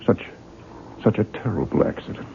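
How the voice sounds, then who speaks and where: A male actor playing a polite, hesitant publisher with a halting, formal manner, in 1970s radio drama style. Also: natural voice. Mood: awkward, solemn. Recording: tinny, noisy 1970s radio broadcast recording.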